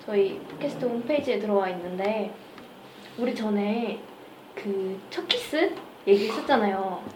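A woman's voice: medium pitch, lively intonation, moderate pace. A young woman hosting a podcast, chatting casually with her guests and reading out listener comments. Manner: chatty, laughs often, reads listener comments. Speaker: podcast host. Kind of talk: casual group chat. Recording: roomy, distant mic, noisy.

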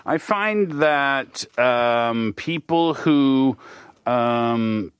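A man hosting a radio show, talking casually and easily, with lively rises and falls in his voice. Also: drawn-out words, clear, resonant tone, no room sound, clean studio sound. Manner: relaxed, chatty, lively shifts in pitch. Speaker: radio host. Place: radio studio. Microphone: studio microphone.

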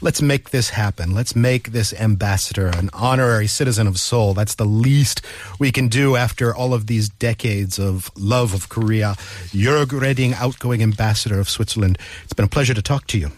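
A man's voice: on the low side, moderate pace. A man, hosting an interview in an articulate, casual but polished way. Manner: articulate, eloquent phrasing. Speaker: radio interview host.

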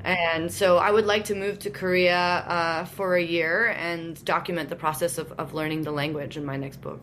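A woman guest on a radio interview, talking in a reflective, soft way with frequent pauses and fillers. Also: fairly low pitch, fairly even intonation, slight background noise. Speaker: guest, musician and author. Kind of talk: conversational interview.

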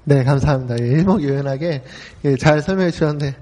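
A man in his forties hosting a relaxed talk show, chatty and full of easy fillers. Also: fairly high pitch, quick pace, in a large hall. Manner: chatty, frequent filler "ye", laughs easily. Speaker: host. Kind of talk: casual podcast talk.

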